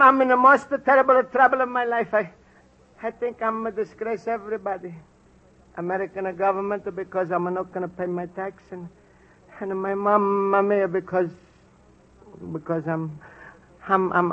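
A man's voice: high voice, natural voice. An actor playing a timid, earnest Italian immigrant man who stammers in broken English with an Italian accent, in a 1950s radio comedy. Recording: dull lo-fi old radio recording, hiss.

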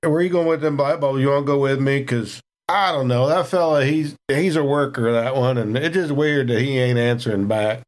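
A man's voice: medium pitch, normal speed, few pauses, lightly acted. A man voicing a lazy, swaggering male ship crewman who talks in a folksy, ungrammatical country way. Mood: folksy, sly.

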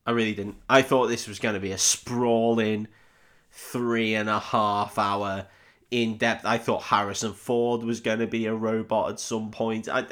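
A man's voice: fairly low pitch, little pitch movement, measured pace, a bit bright. A man co-hosting a film podcast in casual chat, with a jokey, sweary manner.

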